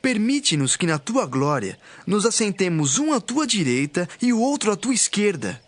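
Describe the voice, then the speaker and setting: A man's voice: high-pitched, big pitch swings, normal speed, no room sound. An actor playing an eager, ambitious male disciple making formal entreaties to his master in a scripture audio drama.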